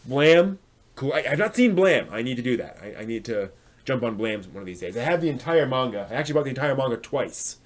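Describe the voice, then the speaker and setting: A man's voice: medium pitch, very animated, a little fast. A man hosting a live stream, talking casually and answering viewers' comments in a hesitant, thinking-aloud manner.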